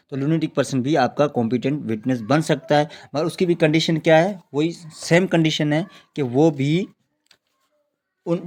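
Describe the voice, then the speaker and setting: A man's voice: medium pitch, darkish tone. A man with a Pakistani accent lecturing on law for students, repeating and rephrasing points, asking questions and answering them himself, with frequent check-in tags. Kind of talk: explanatory exam-prep lecture in Urdu mixed with English legal terms.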